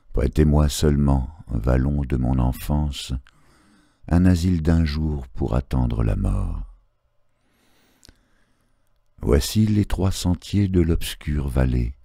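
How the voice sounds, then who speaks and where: Very deep, dark-voiced man reciting poetry. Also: gravelly, no echo, clean studio sound. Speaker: poetry reader. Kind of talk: solemn literary poetry recitation.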